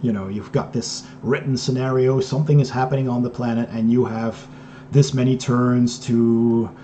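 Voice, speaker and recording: Mellow-voiced male interview host. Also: mid-range voice, moderate pace, a little hiss or hum. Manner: relaxed, with short backchannel agreements. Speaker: podcast host. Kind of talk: casual interview conversation.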